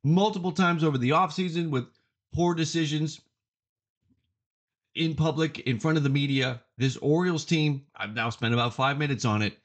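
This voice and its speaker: A man hosting a sports podcast, giving opinionated commentary in an emphatic way and repeating phrases for effect. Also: on the high side. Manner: emphatic, deliberate repetition for effect.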